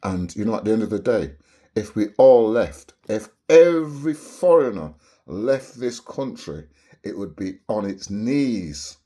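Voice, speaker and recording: Low husky Barbadian man ranting. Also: animated, a little slow, dark tone. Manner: preachy, repeats rhetorical "yeah?" tags. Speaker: vlogger. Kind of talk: impassioned monologue to camera.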